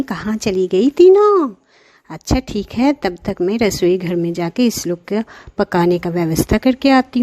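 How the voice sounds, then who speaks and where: A woman's voice: darkish tone, dry recording. A woman voicing a gleeful, greedy witch in a children's cartoon, gloating in a villainous manner. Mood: scheming. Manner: gloating, with high-pitched cackles. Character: gleeful greedy witch eager to cook her captives.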